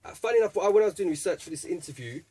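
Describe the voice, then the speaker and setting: A man's voice: high-pitched, expressive, very fast, mellow, breathy edge. A man hosting a casual radio interview in a studio, playful and teasing. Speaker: radio DJ host.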